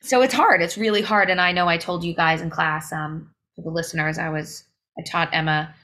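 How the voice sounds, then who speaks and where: A woman's voice: on the low side, fairly even intonation, brisk, pushed and bright, slight background noise. A woman singer as a podcast guest, talking in emphatic bursts full of fillers and restarts. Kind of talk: casual interview chat.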